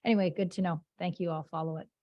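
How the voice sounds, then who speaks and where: A woman's voice: lowish voice, fairly even intonation, racing, highs cut off. A woman on the board asking questions at a meeting in a chatty, self-deprecating way. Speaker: board member.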